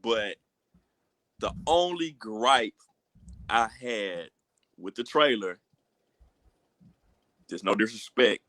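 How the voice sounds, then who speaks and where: A man's voice: fairly low pitch, takes it slowly, long pauses, a bit bright, no echo. An American man talking casually with friends as a podcast host. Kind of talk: casual group podcast chat.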